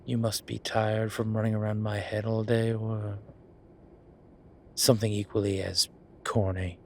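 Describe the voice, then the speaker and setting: A man playing a polite, flirtatious incubus demon in an intimate roleplay audio drama, with hesitant, formal phrasing and a softness that turns breathy at times. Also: fairly low pitch, completely flat delivery, a little slow, a little hiss or hum. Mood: flirtatious, teasing. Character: courteous, flirtatious male incubus demon, restrained and apologetic.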